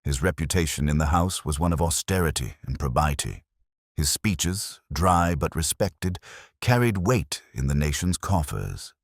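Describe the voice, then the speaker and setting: A male audiobook narrator with an extremely deep voice and wide, expressive intonation. He reads in a measured, literary style with dramatic weight, recorded dry and close. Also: no room sound. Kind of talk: scripted dramatic story narration.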